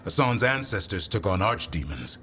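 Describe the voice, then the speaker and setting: A man's voice: fairly low pitch, big pitch swings, moderate pace, bright, forceful tone, slight background noise. A man playing a stern, proud griffin-handling warrior in a video game, speaking in a blunt, commanding way. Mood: proud, intense. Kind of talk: dramatic video game acting.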